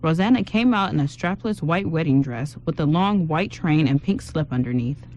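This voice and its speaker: A woman's voice: low-pitched, animated, normal speed, darkish tone, poor audio. A woman reading scripted narration as the storyteller of an amateur radio drama.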